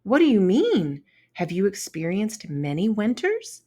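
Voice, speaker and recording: A woman's voice: medium pitch, huge pitch swings, measured pace, warm tone. A woman voicing a sweet, naive little female ladybug, small-voiced and earnest, in a children's storybook read-aloud. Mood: puzzled.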